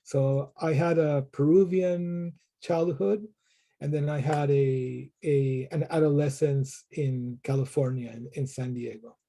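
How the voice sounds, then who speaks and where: A man's voice: a little high, a little slow, dark tone. A middle-aged man from Peru, a professor answering interview questions thoughtfully, often repeating words as he restarts. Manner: thoughtful, frequent word repetitions and restarts.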